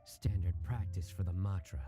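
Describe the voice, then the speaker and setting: A man's voice: low voice, moderate pace, music in the background, lightly acted. An actor playing a stern, cold male judge who hunts wrongdoers, in a video game, speaking in a threatening, clipped manner. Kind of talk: video game cutscene voice acting.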